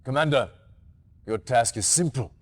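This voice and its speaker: An actor playing a grave, authoritative military commander in a video game cutscene, speaking in a stern, formal, deliberate way. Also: male voice, medium pitch, lively intonation, close to an everyday voice. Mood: commanding.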